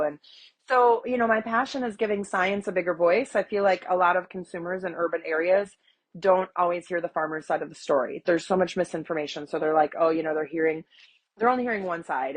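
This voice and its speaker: A woman in her forties from Wisconsin, stuffy from a cold, telling her story in a chatty, animated podcast interview with many fillers. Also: fairly low pitch. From Wisconsin, USA. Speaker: guest, agriculture advocate. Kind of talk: conversational interview.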